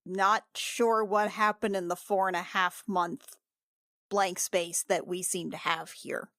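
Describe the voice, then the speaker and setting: A woman playing a tabletop roleplaying game, speaking casually and haltingly as she improvises dialogue. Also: mid-range voice, steady tone, a bit bright, no room sound.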